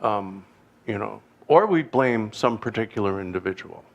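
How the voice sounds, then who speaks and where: Low gravelly Canadian man teaching. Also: animated, lo-fi sound. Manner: halting, digressive, wry self-deprecating humor. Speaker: Buddhist teacher. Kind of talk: informal dharma teaching.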